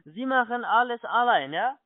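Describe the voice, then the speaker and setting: A man with a foreign accent, not a native German speaker, giving a halting phone sales pitch and often checking he is followed. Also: expressive, no room sound. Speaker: telephone sales agent, scammer. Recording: muffled low-fidelity phone line.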